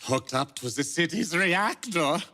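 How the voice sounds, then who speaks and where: An actor playing a wry, expressive game character explaining a mission's background. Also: male voice, fairly high pitch, measured pace, exaggerated voice. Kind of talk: video game dialogue.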